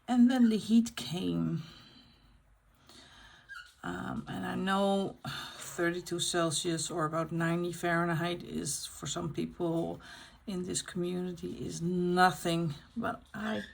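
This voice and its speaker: A middle-aged Dutch woman chatting in English, her second language, as she colours on camera. Her voice is gravelly and husky, and she talks slowly and unscripted, often stopping to search for the next word. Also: lowish voice, steady tone. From Netherlands. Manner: unscripted, pauses to find words. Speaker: colouring channel host. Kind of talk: casual chat while colouring.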